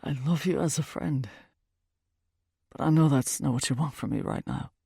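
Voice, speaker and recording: A woman voicing a remorseful, evasive man breaking up with his girlfriend in an audiobook, gravelly and husky, pleading haltingly. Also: rumbling low, warm tone, no echo, clean studio sound, pitched below the performer's own voice, full character voice. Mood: pained, pleading. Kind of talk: audiobook character dialogue.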